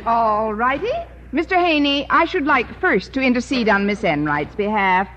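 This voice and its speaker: An actress playing a sardonic, wisecracking schoolteacher with dry comic timing in an old radio comedy. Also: female voice, on the high side, normal speed, crowd noise, lightly acted. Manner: deadpan, dry sarcastic timing. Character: wry, wisecracking adult female schoolteacher, cool and sardonic. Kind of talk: 1940s-50s radio comedy acting. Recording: muffled, noisy old radio recording.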